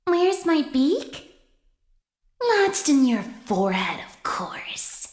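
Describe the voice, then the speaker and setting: A woman's voice: sits high, sing-song, takes it slowly, darkish tone, reverberant, full character voice. An actress playing a hungry, menacing female toy chicken animatronic in a video game, coy and creepy. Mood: coy menace. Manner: coy, creepy, talking to herself.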